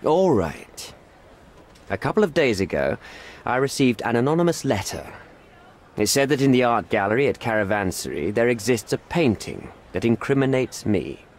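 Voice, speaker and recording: A cornered envoy in middle age, speaks in a video game scene with lively, swinging intonation. He is formal and smooth-talking, forever defending himself and pleading, with faint noise behind him. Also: mid-range voice, normal speed. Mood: evasive, regretful. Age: middle-aged. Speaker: British envoy. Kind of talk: video game cutscene acting.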